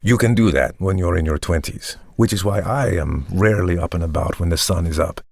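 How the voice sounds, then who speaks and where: Smug startup founder in an audio comedy, really low husky voice, measured and sure of himself, dry close sound. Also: male voice, darkish tone, no echo. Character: self-satisfied, polished male tech entrepreneur, secretly vampiric. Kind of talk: monologue in audio comedy play.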